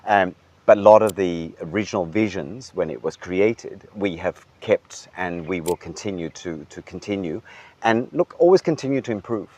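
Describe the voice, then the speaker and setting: A middle-aged man from Denmark, a hotel general manager, speaking thoughtfully in a relaxed interview, with frequent ums and restarts. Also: deep voice, normal speed. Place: hotel grounds.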